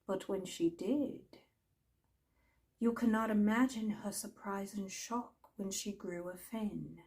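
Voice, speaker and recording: A woman playing an imperious, mischievous older magical storyteller, telling fantasy tales in a theatrical, haughty way with deliberate pauses. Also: medium pitch, a little mellow. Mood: dramatic. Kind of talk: in-character fantasy storytelling roleplay.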